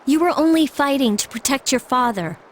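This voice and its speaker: A woman's voice: high-pitched, expressive, a little fast, a little hiss or hum. A young American woman voicing an earnest, resolute teenage schoolgirl in an anime game. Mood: challenging. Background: music.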